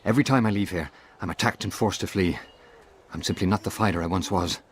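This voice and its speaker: Man voicing a worn out nervous informant guy in a game, low-ish, talks quick, bit of street noise. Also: close to an everyday voice. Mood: harried. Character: timid, harried informant, a worn-out former fighter. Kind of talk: video game character dialogue.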